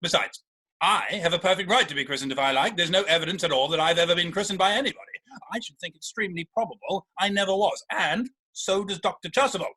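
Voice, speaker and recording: Seeking a male actor for an indignant young gentleman in period stage comedy, high-pitched and forceful. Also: fast, breathy edge, full character voice, rough recording. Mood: insistent. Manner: emphatic, indignant, formal diction. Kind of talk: rehearsed reading of a Victorian stage comedy.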